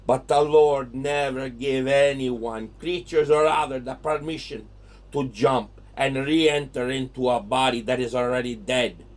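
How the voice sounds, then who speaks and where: A man's voice: mid-range voice, unhurried, natural voice. A man playing a hot-tempered old Italian grandfather in an audio drama, scolding in broken English with an Italian accent and dropping into Italian. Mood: stern. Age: older.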